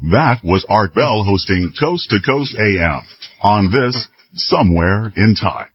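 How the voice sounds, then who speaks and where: A man's voice: lowish voice, lively intonation, unhurried, slightly rough, no room sound. An American man reading a scripted radio network announcement. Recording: dull lo-fi radio audio.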